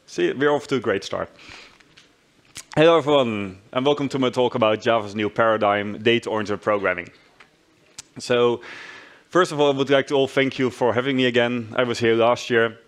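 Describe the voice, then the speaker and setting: A man's voice: mid-range voice, normal speed. A man in his thirties with a Dutch accent, giving a friendly, self-deprecating tech talk on a conference stage, with frequent restarts. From Netherlands. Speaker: conference speaker. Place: large conference hall stage.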